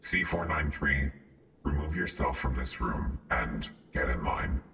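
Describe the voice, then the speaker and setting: A man voicing a cold, authoritarian containment guard in an audio roleplay, stern and official, with a deepened intercom effect. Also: unhurried, sharp tone, exaggerated voice. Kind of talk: audio roleplay acting, formal announcements. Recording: echoey, distant, slightly noisy announcement.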